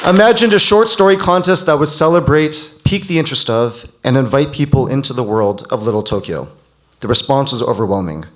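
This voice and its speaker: A man's voice: medium pitch, animated, a little fast, fairly bright tone. A man emcees a live event from the stage with an ordinary mid-range voice. He talks informally and chattily, joking and engaging the audience as he goes.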